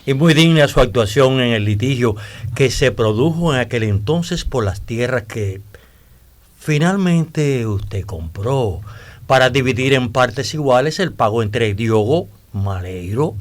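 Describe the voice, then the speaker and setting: An actor playing a courteous, flattering gentleman newcomer in a historical radio drama, speaking formally and expressively. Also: medium pitch, slow pace.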